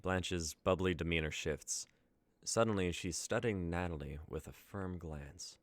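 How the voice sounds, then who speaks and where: A man's voice: deep voice, measured pace, dry recording. A young man reading scripted story narration and stage directions as the narrator of a dramatic reading. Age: young adult.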